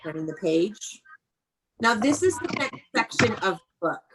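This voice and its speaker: A woman's voice: mid-range voice, sing-song, a little slow, noisy background. A woman teaching young children in an online read-aloud class, prompting them with questions and encouragement in an instructive way.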